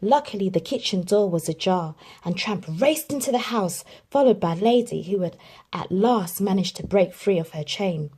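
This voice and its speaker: A woman reading a children's storybook aloud in an expressive storytelling manner. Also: medium pitch, moderate pace, slightly rough. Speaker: children's book reader.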